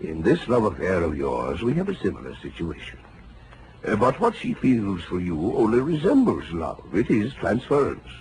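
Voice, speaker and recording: An actor playing an excitable older psychoanalyst, fussy and lecturing, in 1940s radio drama style. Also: male voice, on the low side, normal speed. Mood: lecturing, probing. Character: excitable, analytical older male psychoanalyst doctor. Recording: noisy old radio recording.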